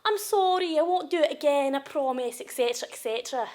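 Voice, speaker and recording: A woman's voice: sits high, a little fast, darkish tone, lightly acted. A woman acting a dramatic monologue as a wounded, angry woman raging at an abusive partner. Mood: bitter, exasperated. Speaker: actress performing monologues. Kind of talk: acted audition monologue.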